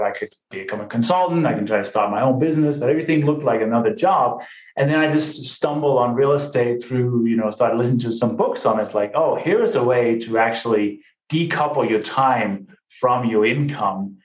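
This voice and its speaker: A man in his late forties from Denmark, talking upbeat and conversationally as a podcast guest. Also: mid-range voice. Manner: upbeat, conversational, says "right?" and "you know" often. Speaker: guest, real estate investor. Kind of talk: casual interview talk. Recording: roomy, dull lo-fi sound.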